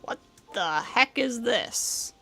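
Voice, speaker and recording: Creaky, higher-pitched woman streaming a game. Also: animated, slow, pushed and bright. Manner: musing aloud to herself. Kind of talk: casual livestream gameplay commentary. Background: faint game music.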